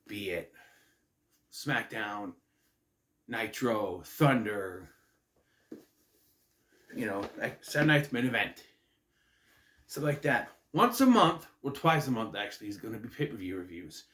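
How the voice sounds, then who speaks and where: A man talks casually to camera from his room at home, a little nasal from a cold he is still shaking. His pitch swings up and down as he rambles and corrects himself. The room gives his voice a noticeable echo. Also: a little hiss or hum. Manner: chatty, self-interrupting, lively pitch swings. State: getting over a cold, slightly nasal, clearing throat. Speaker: host of a wrestling review channel. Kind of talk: casual rambling vlog intro. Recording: echoey room.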